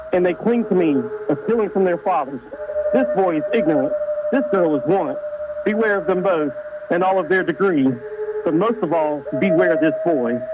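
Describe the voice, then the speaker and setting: A man's voice: a little high, lo-fi sound, very noisy. A man playing an aged Christmas ghost in a radio play, grave and archaic, through a radio-like filter. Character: aged ghost of Christmas present, solemn and warning. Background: music.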